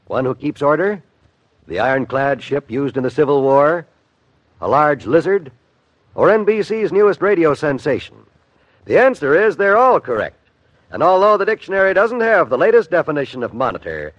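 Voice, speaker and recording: A man's voice: on the high side, dry recording. A man working as a radio announcer, reading scripted promotion in a polished, emphatic 1950s style. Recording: dull vintage radio recording.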